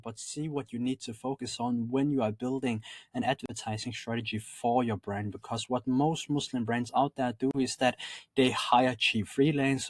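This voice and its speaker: A man's voice: medium pitch, a little mellow, no echo. A man from Denmark with a Danish accent gives an explanatory video presentation as a marketing consultant, using formal, wordy phrasing with emphatic openers.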